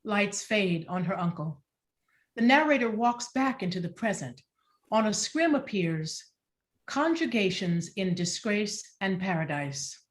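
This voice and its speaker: Mid-pitched woman reading stage directions. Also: expressive, measured pace. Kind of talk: scripted narration at a staged reading.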